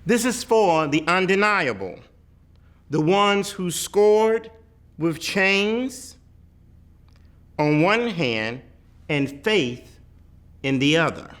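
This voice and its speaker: Male reader for a poetic picture book: higher-pitched voice, highly animated intonation, emphatic delivery with weighty pauses. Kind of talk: dramatic poetry read-aloud.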